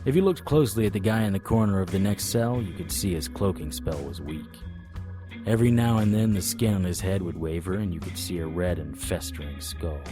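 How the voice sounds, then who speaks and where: An actor playing a wisecracking, world-weary occult investigator, narrating and trading lines in a noir-style audio drama. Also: male voice, low-pitched, fairly quick, over music, natural voice. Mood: wry narration. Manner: sardonic, flippant.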